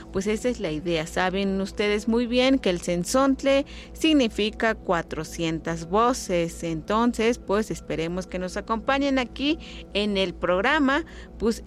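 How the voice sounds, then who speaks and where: A woman whose first language is Nahuatl hosts a bilingual radio show, talking in an easygoing way with frequent filler words and friendly invitations to listeners. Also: fairly high pitch. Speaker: radio host.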